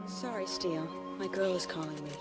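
An actress playing a cool, kindly young female husky in a cartoon film, composed and dryly reproving. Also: lowish voice, brisk, over loud music, natural voice.

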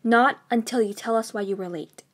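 A confident, high-class teenage sister, played by a woman in an audiobook drama. Her voice is high, and she talks in an assertive, sly, teasing way. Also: fairly quick, husky. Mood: smug, threatening. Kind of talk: audiobook character acting.

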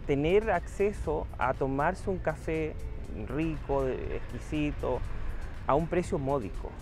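A man's voice: on the high side, expressive, slow, darkish tone, soft background music. A young man, a small business owner answering interview questions outdoors, earnest and hesitant with many fillers. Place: outdoor town plaza.

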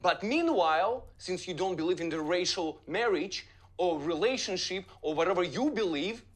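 A man's voice: high voice, expressive, moderate pace. A man working as a journalist, speaking heatedly and emphatically with non-native phrasing. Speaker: investigative journalist.

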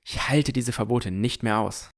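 A man playing an earnest, curious boy who narrates a fantasy audio drama in a measured, descriptive way. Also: mid-range voice, no echo, lightly acted. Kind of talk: scripted first-person audio drama narration.

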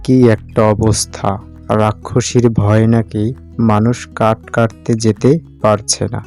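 A man's voice: fairly low pitch, little pitch movement, a little slow, a little mellow, faint music behind. A man playing a brave, confident young village tea-seller in a cartoon story, speaking in a defiant, plain-spoken way. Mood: determined.

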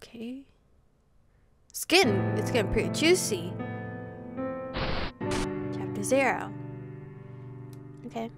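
A young adult woman streaming a visual novel, reading the text aloud with casual, slangy reactions. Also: on the high side. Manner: informal slangy asides. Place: indoor room, webcam setup. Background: game music.

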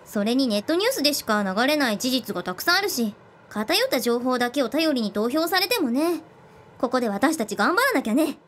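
A woman's voice: high-pitched, sing-song, fairly quick, fairly bright tone, slight background noise. A woman playing an earnest young first-time voter who admonishes her friend in a radio drama.

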